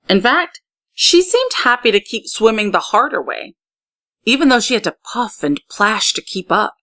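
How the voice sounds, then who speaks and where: A woman narrating a children's story in an expressive read-aloud style, putting emphasis on key words. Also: a little high, normal speed. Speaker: story reader.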